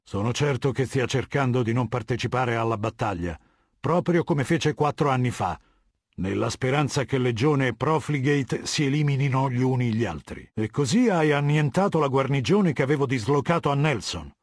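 A middle-aged warlord for a video game, imperious and ruthless. His male voice sits at a medium pitch and is measured, commanding and full of scorn. The sound is very dry, with no room around it. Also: breathy edge, no room sound. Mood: cold contempt. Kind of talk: dramatic game dubbing, scripted dialogue.

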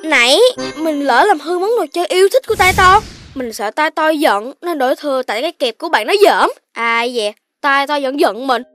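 A woman voicing an earnest, excitable young cartoon puppy with a childlike, expressive southern Vietnamese delivery. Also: sits high, moderate pace. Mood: remorseful. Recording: music behind the voice.

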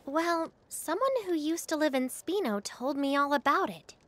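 A woman's voice: high-pitched, normal speed, fairly bright tone. A woman playing a confident, practical young mechanic in a video game.

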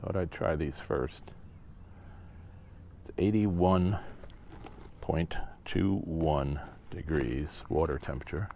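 A man's voice: very deep voice, takes it slowly, vocal fry, long pauses, dark tone, a little hiss or hum. A man in his sixties talking casually and plainly as host of a fishing vlog, outdoors on a small boat.

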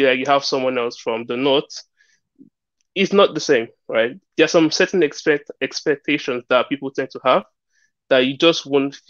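A slightly muffled, very dry headset recording of a young man with a Nigerian accent. His pitch is average for a man, and he explains his points casually as a livestream caller. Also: dry recording. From southern Nigeria. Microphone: headset boom mic. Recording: slightly muffled video-call headset audio.

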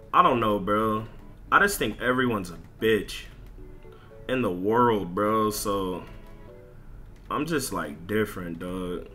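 A man's voice: medium pitch, sharp tone. An American man streamer ranting casually in slang with heavy swearing, emphatic and repeating himself. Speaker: streamer and content creator. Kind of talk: casual livestream rant over gameplay.

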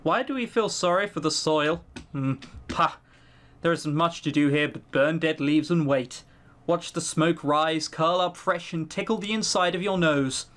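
A man's voice: a little high, measured pace, a bit bright. A man giving let's-play commentary, reading game text aloud and breaking off for dry, sweary, critical asides.

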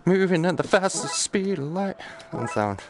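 A man's voice: high voice, sing-song, moderate pace, music in the background. A British man giving casual live gaming commentary, grumbling sarcastically with mild swearing over background noise. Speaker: gaming streamer.

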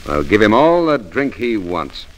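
An actor in a 1940s-style radio drama playing a bitter, newly rich gentleman, mocking, controlled and formal. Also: lowish voice, unhurried, rough recording. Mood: curt, commanding. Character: bitter, sardonic, newly rich gentleman, former stable boy. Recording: old radio recording with steady hiss.

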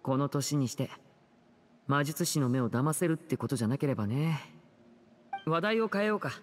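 Young male stage magician for anime game, man acting: kind, composed leader, earnest and measured, reverberant. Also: mid-range voice, lively intonation, a little hiss or hum, close to an everyday voice. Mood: gentle, thoughtful.

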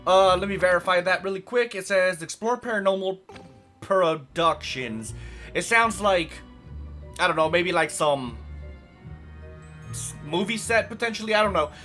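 Brief: young male let's-play host, hoarse and raspy, high and bright, chatty, faint game music behind. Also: expressive, moderate pace, pauses often. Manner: chatty, rambling, lots of fillers. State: hoarse from yelling. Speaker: gaming channel host. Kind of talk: casual let's play commentary.

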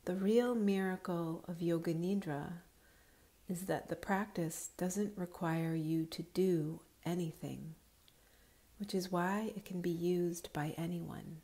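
A woman guiding a relaxation meditation in a calm, soothing, unhurried manner, her voice soft with breathy edges. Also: lowish voice. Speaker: yoga nidra guide.

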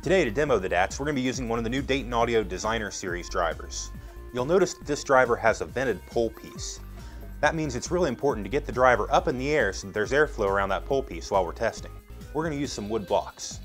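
A man's voice: medium pitch, expressive, fast, faint music behind. A man in his thirties giving scripted, methodical step-by-step instructions as a product demonstrator. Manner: methodical, explanatory.

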